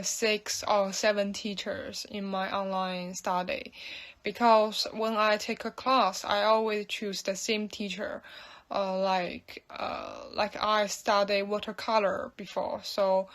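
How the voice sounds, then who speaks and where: A young woman from mainland China with a Chinese accent, answering casually and haltingly as she searches for words. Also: medium pitch, flat delivery, a bit bright. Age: young adult. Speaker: student. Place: indoor room.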